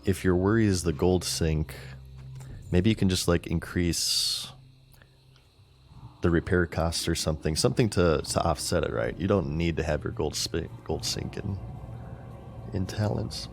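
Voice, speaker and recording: A man streaming a game and chatting casually with viewers, rambling and thinking aloud, his voice scratchy and clearing his throat. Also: low voice, unhurried, pauses often. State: scratchy, failing voice.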